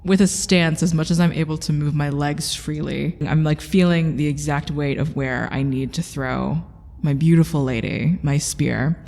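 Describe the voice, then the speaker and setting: A woman's voice: sits low, steady tone, moderate pace, creaky, darkish tone, big-room sound. A woman playing a tabletop roleplaying game, describing what her character does.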